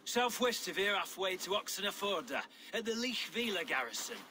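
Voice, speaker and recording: Casting: plain-spoken Saxon rebel leader in a video game, higher male register, grim resolve. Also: very animated, moderate pace, sharp tone, exaggerated voice. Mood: wary. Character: blunt, earthy Saxon rebel leader, grimly determined.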